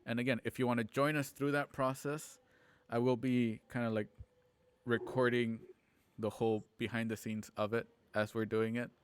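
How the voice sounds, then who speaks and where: A man hosting an online show, chatting casually and haltingly on a livestream about plans for it. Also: mid-range voice, little pitch movement, clear, resonant tone. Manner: halting, informal. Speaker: host and organizer of an online radio drama show. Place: at home on a multistream video call.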